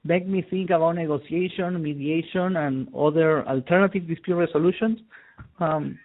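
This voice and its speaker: A middle-aged man from Peru speaks English. He guests on a talk show, explaining things hesitantly, with plenty of fillers and restarts. His voice sits fairly high for a man and comes through a tinny phone line. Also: moderate pace. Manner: hesitant, many repeated words and fillers. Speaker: guest expert on conflict resolution. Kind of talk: phone interview, casual explanation.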